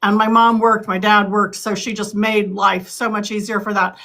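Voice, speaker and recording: A woman's voice: medium pitch, level tone, moderate pace, gravelly, a bit bright. A woman in her sixties from California, answering interview questions earnestly, with frequent ums and restarts. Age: 60s. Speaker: political candidate.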